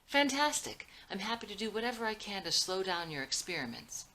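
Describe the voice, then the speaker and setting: Woman reading as a sentimental older father, bright voice. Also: medium pitch, very animated, a little fast, a little hiss or hum, voice pushed up. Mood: indignant. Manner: earnest, emotional, speech-making. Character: sentimental older founder father, idealistic and disappointed.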